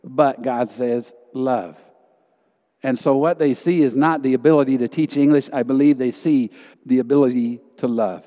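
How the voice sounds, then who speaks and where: A man's voice: medium pitch, steady tone, moderate pace, dark tone. A man giving an earnest, emphatic testimony in a church hall, telling stories from his missionary teaching. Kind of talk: sermon testimony. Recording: reverberant hall.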